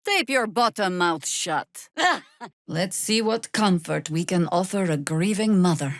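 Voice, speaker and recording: An older female druid warrior from a fantasy game. Her voice is on the low side and weathered, and she takes her time with every phrase. She is wry and commanding, with old-fashioned formal wording, in a very dry, close studio sound. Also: animated, slow, dry recording. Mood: wry, measured. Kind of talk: video game character acting.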